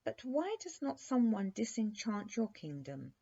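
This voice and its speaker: An eager, forthright young princess from a bedtime storybook, as a woman reader puts her on. She is direct and questioning, with a husky softness and a mellow tone. The recording is a little dull. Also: a little high, sing-song, measured pace, pitched above the performer's own voice, full character voice. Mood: curious.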